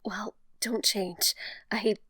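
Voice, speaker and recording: A woman's voice: mid-range voice, fairly even intonation, extremely slow, pushed and bright, husky, no room sound, studio quality, exaggerated voice. A woman playing an emotionally battered, shy young woman in an audio drama, speaking hesitantly and breathily. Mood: tearfully amused. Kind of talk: naturalistic audio drama acting.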